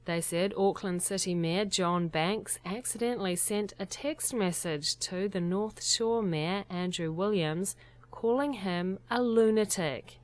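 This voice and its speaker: Woman reading short news, then interviewing. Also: lowish voice. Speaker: host and news reader.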